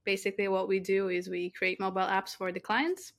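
A woman's voice: medium pitch, level tone, brisk. Young woman, tech guest; earnest, thoughtful, many fillers. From Latvia. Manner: earnest, with frequent fillers and restarts. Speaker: guest, mobile tech lead. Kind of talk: interview conversation.

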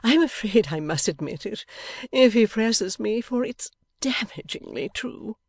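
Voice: mid-range voice, wildly swooping pitch, darkish tone, slightly rough, no room sound, pitched above the performer's own voice, full character voice. A storybook reader voicing an airy society wife who is falsely innocent, archly playful and coquettish. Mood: playfully arch. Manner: coquettish, wheedling, drawn-out delivery.

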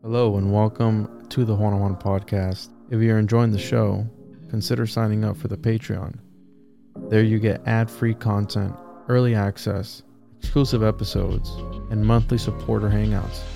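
A man's voice: fairly low pitch, level tone, normal speed, gravelly, dark tone, soft background music. A man hosting a podcast, talking casually to his listeners and guest. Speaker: podcast host.

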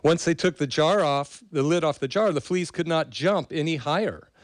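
A man's voice: fairly high pitch, moderate pace, no room sound. A man hosting a podcast interview, introducing his guest in a loose, rambling way with fillers. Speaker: podcast host.

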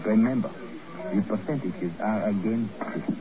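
An actor playing a world-weary, sober casino adviser in a 1950s radio drama. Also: male voice, fairly low pitch, unhurried, background noise, lightly acted. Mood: grave, warning. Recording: muffled vintage radio, crowd murmur.

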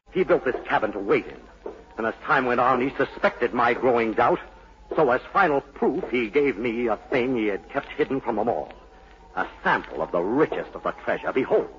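An actor playing the haunted, brooding son of a mad sea captain in a dramatic, insinuating 1940s radio style. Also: male voice, medium pitch, expressive, normal speed, a bit bright, close to an everyday voice. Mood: bitter, intense. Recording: muffled, hissy 1940s radio broadcast.

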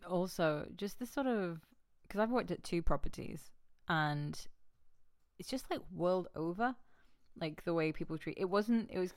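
A woman's voice: fairly low pitch, lively intonation, moderate pace. An English woman chatting casually, rambling and wryly exasperated as she recalls working with the public. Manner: rambling, restarts, wry and exasperated. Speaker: former heritage site worker. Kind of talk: casual chat.

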